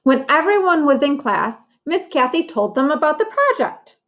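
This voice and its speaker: A woman's voice: high-pitched, big pitch swings, moderate pace, fairly bright tone. A woman teacher reading a story to young children, speaking in simple, instructive phrases with encouraging asides about the pictures. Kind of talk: read-aloud lesson for young children, with commentary on pictures.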